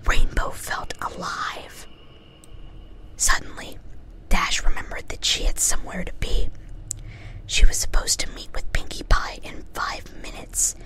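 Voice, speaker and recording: A woman's voice: measured pace, bright, forceful tone. A woman reading a story aloud in an ASMR style. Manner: casually swears at own slips. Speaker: reader.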